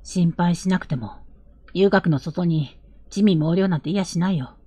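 A woman playing an earnest, protective young man, a rough-spoken elder brother, in a Japanese period audio drama. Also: fairly low pitch, dry recording. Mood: earnest, gentle. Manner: earnest, measured, gently insistent.

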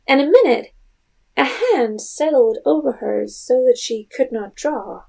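A woman's voice: sits high, animated, measured pace, gravelly, a little mellow, breathy edge. A woman narrating an audio drama in a scripted storytelling style. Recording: music underneath.

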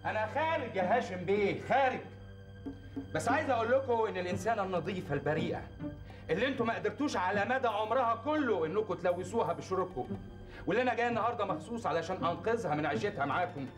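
A fast-talking defiant young Egyptian man. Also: high-pitched, lively intonation, music in the background. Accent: Egyptian Arabic. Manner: defiant, threatening, declamatory. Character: defiant young village man, proud and vengeful. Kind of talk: TV drama acting.

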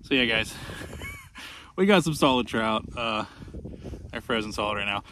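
A man in his thirties filming his ice-fishing trip in a tent on a frozen lake, talking casually and excitedly with plenty of slang and swearing, recorded on a handheld action camera. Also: medium pitch, a little slow. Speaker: fishing vlogger. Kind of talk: casual outdoor vlog. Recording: noisy outdoor camera audio.

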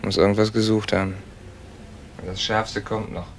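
Actor as a blunt young snoop, noisy recording. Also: male voice, lowish voice, level tone, normal speed, close to an everyday voice. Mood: gossipy, conspiratorial. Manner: blunt, clipped, colloquial. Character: streetwise, gossipy young snoop, blunt and mercenary. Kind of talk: German crime radio play acting. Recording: noisy old recording with clicks and clatter.

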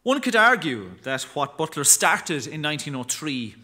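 Bright-voiced man reading a lecture. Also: on the high side, very animated. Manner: formal, measured reading with occasional restarts. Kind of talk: reading a prepared academic talk. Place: chapel lectern. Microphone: lectern microphone.

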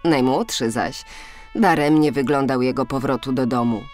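Female storyteller for children's audio tales: low register, dramatic delivery, music bed underneath. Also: moderate pace, darkish tone. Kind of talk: expressive scripted children's fairy-tale narration. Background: background music.

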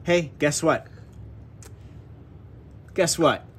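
A man's voice: on the high side, drawn-out words, a little hiss or hum. A father chatting and reading casually with a toddler, playfully prompting with simple questions. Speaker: father reading to his young daughter. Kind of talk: casual read-aloud and chat with a toddler. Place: carpeted room at home.

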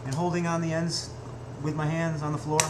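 High-voiced young man, echoey home webcam. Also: flat delivery, fairly quick. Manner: blunt, swears, strains and grunts through reps. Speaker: fitness vlogger. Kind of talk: casual workout vlog. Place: small room at home. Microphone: webcam at desk distance. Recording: echoey room, noisy webcam audio.

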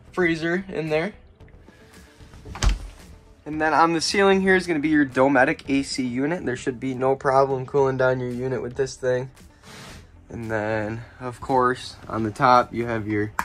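Young man giving a casual trailer walkaround. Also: a little high. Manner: relaxed, plainspoken, pointing things out as he goes. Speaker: RV salesman giving a walkaround tour. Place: outdoors at an RV lot, then inside a small trailer.

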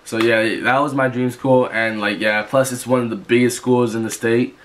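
A man's voice: medium pitch, steady tone, normal speed, fairly bright tone. A young man from Georgia talks to a camera in his bedroom, his voice stuffy from a cold. He rambles casually in a mid-range voice, restarting sentences often. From Georgia, USA. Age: young adult. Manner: rambling, with many restarts and fillers. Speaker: vlogger. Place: bedroom, indoors. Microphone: camera in front of him.

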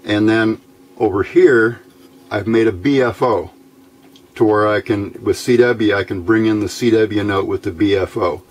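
An American man giving a casual, unscripted hands-on demonstration, thinking aloud in a halting way as he goes. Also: lowish voice. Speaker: hobbyist radio builder presenting a how-to video. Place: small indoor workbench. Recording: noticeable background noise.

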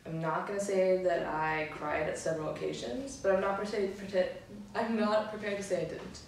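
A woman's voice: medium pitch, fairly even intonation, normal speed. A woman in her twenties from Sweden chatting casually as an interviewee on a living room sofa. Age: 20s. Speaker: student interviewee. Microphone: far camera. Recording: very echoey room, faint noise, distant mic.

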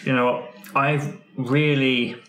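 A man improvising in character in a roleplaying game, speaking earnestly and haltingly, often repeating himself. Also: mid-range voice, steady tone, a bit bright. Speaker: tabletop roleplay player, performer. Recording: roomy, echoing room sound.

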